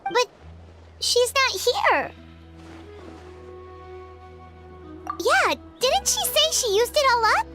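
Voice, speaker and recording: A woman voicing a small, childlike, curious fairy companion with a squeaky, perky voice in a video game. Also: sing-song, a little slow, bright, forceful tone, faint music behind, exaggerated voice. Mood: puzzled. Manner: refers to herself in the third person, perky.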